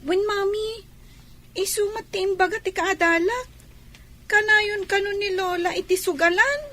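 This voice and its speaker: A small child in a radio drama, with a tiny, piercingly high and bright voice. The child is plaintive and curious, questioning everything. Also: female voice, a little slow, heavily acted.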